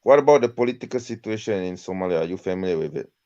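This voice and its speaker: A man's voice: deep voice, animated, racing, warm tone. A man from Malaysia with a Malaysian accent, calling in with casual, hesitant questions and repeating his phrases. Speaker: caller. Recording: dull lo-fi call.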